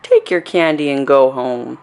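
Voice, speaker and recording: A woman's voice: rumbling low, a little slow, a little mellow. A woman voicing a blustering male policeman in a storybook, stern, incredulous and boastful. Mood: stern, grudging.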